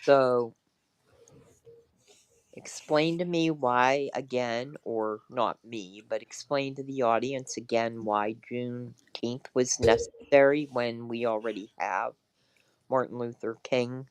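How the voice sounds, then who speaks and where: A woman hosts a casual political discussion in a smooth, clear voice. She speaks very slowly and deliberately, with halting phrasing, and the sound is dry. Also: rumbling low, no room sound, rough recording. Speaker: talk show host.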